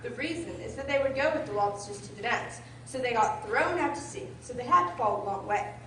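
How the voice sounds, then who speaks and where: A woman playing a brisk, bossy, know-it-all old gryphon who instructs others in a radio play. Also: on the high side, animated, pushed and bright. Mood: explaining. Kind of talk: amateur radio drama acting. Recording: echoey room, distant mic, faint noise.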